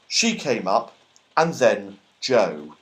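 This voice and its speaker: A man reading a children's story aloud as expressive, scripted narration. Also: on the low side, drawn-out words, poor audio. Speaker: audiobook reader.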